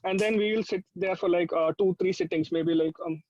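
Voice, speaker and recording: A man's voice: sits high, fairly even intonation, a little fast, darkish tone. A man with an Indian accent, a musician in an interview, casually explaining how he works, with hesitant, conversational phrasing. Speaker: musician in a pop duo, interview guest. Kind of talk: casual interview chat, explaining a creative process. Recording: dull lo-fi online-call sound, dry.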